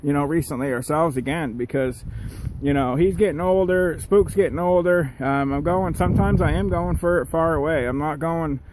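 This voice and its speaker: A middle-aged man speaks in a fairly high male register. He rambles in a folksy, casual vlog style, addressing his viewers as he goes. The recording is made outdoors, with noticeable ambient noise throughout. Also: normal speed, few pauses. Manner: folksy, conversational, keeps addressing viewers as guys. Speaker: outdoors vlogger. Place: outdoors in rural woodland.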